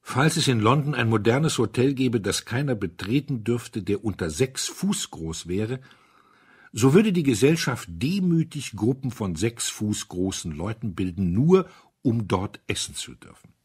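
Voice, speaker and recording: A man reading a literary story aloud as scripted narration, in a measured way with dry irony. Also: mid-range voice, very animated, slightly rough. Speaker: audiobook reader.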